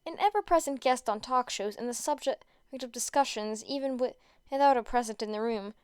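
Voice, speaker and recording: A woman with a high, smooth voice, recorded close and dry. She reads and chats like an amateur podfic narrator, expressive but often tripping over a phrase and starting it again. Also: fairly quick, dry recording. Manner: stumbles over words and rereads phrases. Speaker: podfic reader. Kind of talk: casual introduction and expressive amateur story reading.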